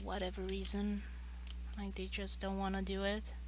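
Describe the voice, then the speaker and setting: A woman with a squeaky voice chatting casually while she draws on a stream, rambling with lots of fillers and restarts. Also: completely flat delivery, moderate pace, noisy background. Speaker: art streamer. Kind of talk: casual chat while drawing.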